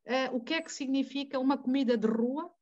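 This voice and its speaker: A woman's voice: sits high, a little fast, a little mellow, muffled recording. A woman with a Mozambican Portuguese accent, speaking as a guest in an academic debate, digressing and correcting herself as she goes. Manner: digressive, self-correcting, asks rhetorical questions. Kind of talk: conversational academic talk.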